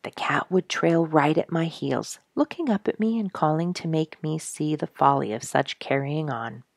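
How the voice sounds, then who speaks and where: Low-voiced, creaky woman reading a story. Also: moderate pace, no echo, clean studio sound. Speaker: story reader, show host. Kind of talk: scripted storybook narration read aloud.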